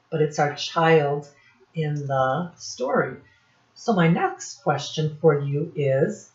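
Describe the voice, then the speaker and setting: A woman teacher reading a picture book aloud to young children in deliberate, separated phrases, asking them questions as she goes. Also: low voice, darkish tone. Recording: dull, muffled lo-fi recording.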